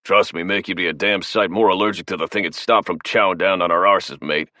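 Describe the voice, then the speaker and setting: A man voicing a tough male soldier boss, gruff, profane and commanding. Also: low-pitched, fairly even intonation, a little fast, pushed and bright, no room sound, studio quality.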